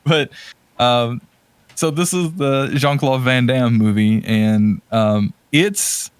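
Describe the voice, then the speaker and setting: A man's voice: mid-range voice, slow, clear, resonant tone, dry recording. Rambling man, dry close recording. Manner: rambling, hesitant, self-correcting. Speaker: podcast co-host. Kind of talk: casual podcast chat about movies.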